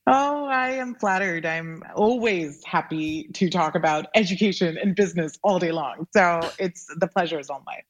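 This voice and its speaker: A woman's voice: lowish voice, normal speed, hardly any pauses. A young woman entrepreneur from Pakistan, talking earnestly and reflectively as a podcast guest, with frequent fillers. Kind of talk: casual interview talk.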